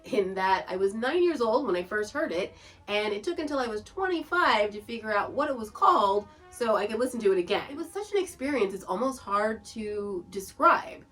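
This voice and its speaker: A woman's voice: medium pitch, animated, fairly quick, a bit bright, far from the mic, faint music behind. A woman hosting a podcast, casually explaining and introducing shows in an informative way. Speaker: podcast host.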